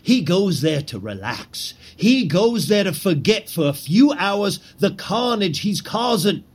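An actor playing a zealous conspirator leader in a historical drama, speaking in grand, declamatory rhetoric. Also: male voice, high voice, sing-song, unhurried, husky, exaggerated voice. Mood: insistent.

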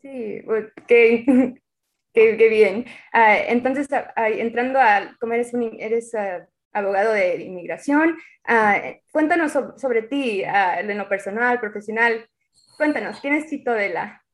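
A woman interviewer with a fairly high voice. She asks her questions in an encouraging way and hesitates with fillers before them. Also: unhurried. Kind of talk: interview in Spanish.

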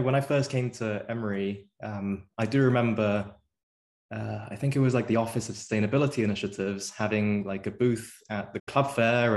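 A young man from South Korea, a guest on an interview podcast, answering in a relaxed, thoughtful way with frequent fillers and restarts. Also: fairly low pitch. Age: young adult.

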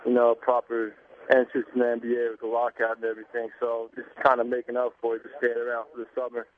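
A young man calling in to a radio interview by phone, relaxed and laid-back with many fillers. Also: medium pitch, fast. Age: young adult. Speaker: basketball player calling in. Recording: tinny phone line.

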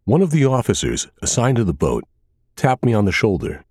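A man reading a scripted first-person thriller as an audiobook narrator, with a measured, dramatic delivery. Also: mid-range voice, wildly swooping pitch, darkish tone, no echo, studio quality.

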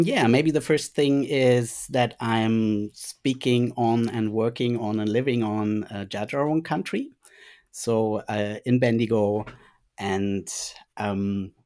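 A man whose first language is German, answering interview questions as a speech pathology lecturer, with thoughtful pauses and ums. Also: mid-range voice. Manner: thoughtful, frequent um pauses, trailing quieter.

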